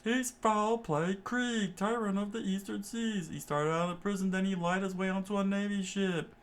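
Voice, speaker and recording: A man's voice: steady tone, normal speed. A man voicing a frantic male cook who urgently warns everyone about a pirate. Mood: alarmed. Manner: shrill and urgent.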